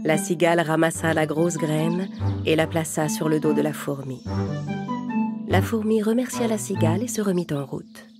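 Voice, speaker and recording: Low-voiced woman narrating over loud music. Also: normal speed. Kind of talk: scripted children's storybook narration.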